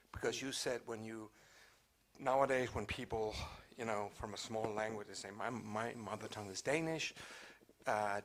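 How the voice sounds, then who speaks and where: Man, mid-pitched voice, spontaneous panel talk, halting phrasing, repeated words. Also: fairly bright tone. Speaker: panel participant asking a question.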